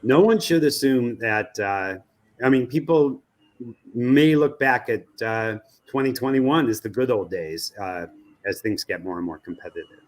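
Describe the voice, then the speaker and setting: A man's voice: mid-range voice, unhurried. An American man giving analytical answers as an expert guest in an interview. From United States. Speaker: interview guest, radio research company president.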